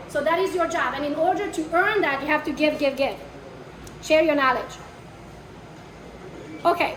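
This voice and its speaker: Accented woman trainer, high voice, blunt and brash with a live audience, echoey room, distant mic. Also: a bit bright, a little hiss or hum. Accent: foreign. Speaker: real estate marketing trainer. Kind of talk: live workshop talk to an audience.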